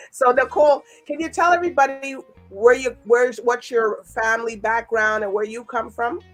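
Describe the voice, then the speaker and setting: A woman's voice: a little high, steady tone, measured pace, soft background music. A woman from Montreal hosting a talk show and chatting casually with a guest. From Montreal, Canada. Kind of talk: casual interview chat.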